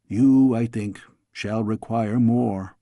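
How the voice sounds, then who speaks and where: Man playing a grave, gravelly fatherly king. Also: on the low side, fairly even intonation, mellow, dry recording. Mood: grave, tender. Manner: measured, formal, solemn. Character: fatherly middle-aged storybook king, grave yet tender.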